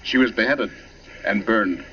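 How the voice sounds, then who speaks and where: A man's voice: on the low side, unhurried, close to an everyday voice. A man acting a droll doctor who savors grisly criminal history in a 1940s radio drama, with wry delivery. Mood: wry relish. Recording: noisy, muffled old radio recording.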